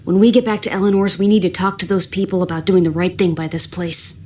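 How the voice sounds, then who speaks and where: A woman's voice: fairly low pitch, fairly even intonation, fast talker, warm tone, slight background noise, natural voice. An actress playing a wry, pragmatic woman survivor who takes charge in a video game drama. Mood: earnest, hushed.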